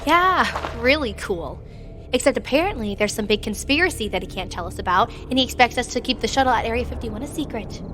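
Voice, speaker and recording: A woman's voice: fairly high pitch, lively intonation, fairly quick, over music, lightly acted. A woman playing a determined, headstrong young crew leader in a sci-fi audio drama, direct and insistent. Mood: sarcastic.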